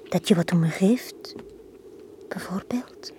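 An actress playing a forlorn, lovesick woman in a radio drama from the 1980s, speaking in a hushed, breathy, poetic manner. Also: lowish voice. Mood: pleading, defiant. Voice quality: breathy, whispery. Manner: slow, hushed, poetic delivery. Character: Tanja, forlorn, lovesick woman left on the quay.

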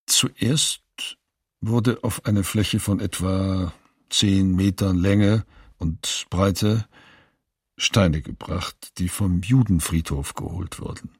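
A grim male witness gives factual testimony in a radio drama. The voice is low and extremely husky, and he speaks very slowly. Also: dry recording, clean studio sound, heavily acted. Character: male witness grimly describing camp atrocities.

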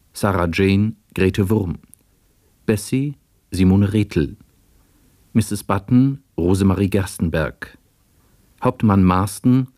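Slow, low-voiced male radio announcer. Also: darkish tone, no room sound, studio quality. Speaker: announcer reading closing credits. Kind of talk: scripted broadcast announcement.

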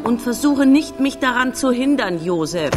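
Casting: headstrong, defiant wife, raised pitch, far-off reverberant radio-drama flashback sound. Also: female voice, lively intonation, moderate pace. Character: defiant wife in a remembered quarrel. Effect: heavy reverb as flashback. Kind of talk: radio crime drama dialogue. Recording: distant, long echo, loud music.

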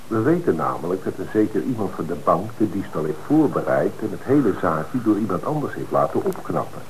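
Low, animated male detective, faint noise. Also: fairly quick. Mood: accusing. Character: suspicious male police detective assisting an interrogation. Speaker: actor playing a detective. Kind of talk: Dutch radio drama dialogue. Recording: noisy old radio broadcast recording.

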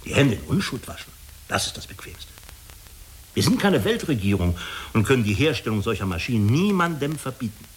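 An actor playing a diplomatic, persuasive official of an international agency in a German radio drama, speaking in a measured manner. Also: male voice, mid-range voice, sing-song, natural voice. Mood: earnest, persuasive.

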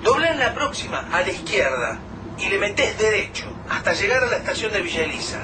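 Radio drama casting: distrustful, anxious Argentine man, colloquial, high-voiced, heard off-mic through noise. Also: sing-song, a little fast. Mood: eager. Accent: Rioplatense Argentine Spanish. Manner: colloquial, urgent. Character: Lucas, anxious distrustful man surviving a deadly snowfall. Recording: noisy, distant from the mic.

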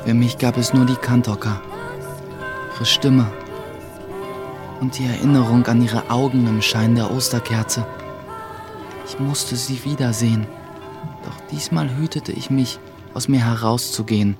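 An earnest young apprentice in sorcery, played by a man, narrates in the first person for a radio drama. His voice is measured and mid-pitched, with music clearly playing behind it. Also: steady tone, natural voice. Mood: earnest, longing.

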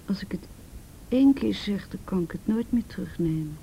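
A woman playing an ashamed, abused teenage girl in a radio drama, halting and repeating herself, often sinking to a breathy whisper. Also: medium pitch, lively intonation, warm tone, a little hiss or hum, rough recording. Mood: distressed, pleading. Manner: halting, repeats words, tag questions. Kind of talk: naturalistic radio drama acting.

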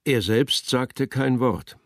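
An actor playing a solemn, measured narrator with an elegiac manner in a literary radio drama. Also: male voice, mid-range voice, no echo, studio quality.

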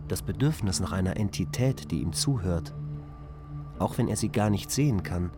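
A man voicing a calm male onboard ship computer that tells a bedtime fairy tale in a measured, matter-of-fact way. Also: on the low side. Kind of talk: German radio drama acting. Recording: radio play with music underneath.

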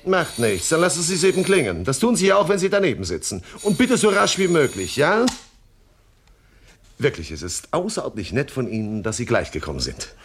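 An actor playing a jovial, glib employment agency boss with an effusive, insinuating manner in a 1970s radio play. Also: male voice, fairly low pitch, sing-song, fast talker, hardly any pauses, slight background noise. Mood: chatty, jovial. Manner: effusive, wheedling, repeats words.

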